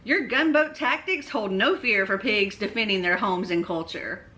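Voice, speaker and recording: A woman's voice: mid-range voice, moderate pace, bright, forceful tone, close to an everyday voice. Woman voicing a defiant little storybook pig. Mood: indignant defiance. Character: defiant, indignant little pigs standing their ground.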